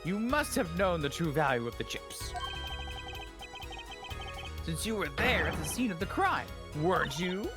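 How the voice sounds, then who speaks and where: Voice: medium pitch, sing-song, a little slow, pauses often, over loud music. A performer playing a cocky young male defense lawyer in a game fandub, theatrical and sarcastic. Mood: pressing.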